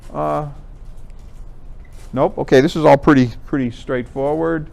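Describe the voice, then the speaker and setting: An American man giving an informal presentation, explaining things conversationally and pausing to rephrase. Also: on the high side, vocal fry, darkish tone. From Connecticut, United States. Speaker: amateur radio contest organizer, presenter. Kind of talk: informal club presentation with slides.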